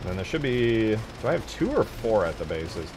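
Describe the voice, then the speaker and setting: A man's voice: lowish voice, sing-song, moderate pace, background noise. A man chatting casually and in slangy, sweary terms on a livestream while he works on game mods. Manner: laid-back, slangy, profane. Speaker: streamer building video game mods.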